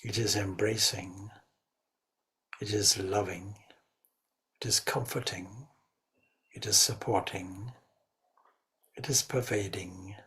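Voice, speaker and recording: A man leading a guided meditation as a spiritual teacher, speaking reverently in short, measured phrases. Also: fairly low pitch, steady tone, a bit bright.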